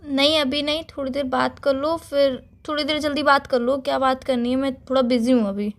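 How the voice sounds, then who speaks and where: A woman's voice: sits high, steady tone, fairly quick. A young woman playing a busy, impatient girlfriend in an everyday phone-call drama, defensive and insistent. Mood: hurried, distracted. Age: young adult.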